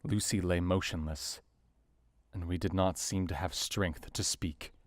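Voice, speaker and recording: An actor playing a grave young doctor, narrating his account in a measured, sombre manner for an audio drama. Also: sits low, fairly even intonation, no room sound. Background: soft guitar music.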